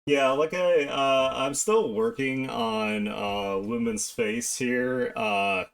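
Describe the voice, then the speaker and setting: Guy streaming his drawing, slow unhurried talk, mid pitch, kinda mumbling to himself. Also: fairly even intonation, vocal fry, smooth. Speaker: art streamer. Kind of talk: casual live-stream chat while drawing.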